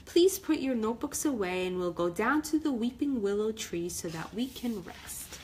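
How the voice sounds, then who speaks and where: A woman's voice: fairly high pitch, lively intonation, normal speed, a little hiss or hum, close to an everyday voice. A woman voicing a patient, encouraging female bear schoolteacher in a storybook.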